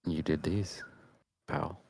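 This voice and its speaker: Male podcast co-host with an extremely low voice, conversational delivery, dry close sound. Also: steady tone, takes it slowly, gravelly, breathy edge, dry recording. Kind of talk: casual chat.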